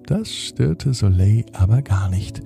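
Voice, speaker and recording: Slow, gravelly-voiced man reading a bedtime story. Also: on the low side, music in the background. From Germany. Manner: calm, soothing, slowing to a hush at the end.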